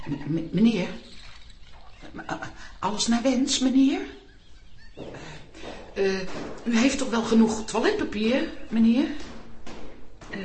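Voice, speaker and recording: A woman playing an eager, fussy, anxious toilet attendant in a Dutch radio comedy, polite and hesitant in her speech. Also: on the high side. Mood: flustered, eager. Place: echoey public toilet scene. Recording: roomy echo, noisy background.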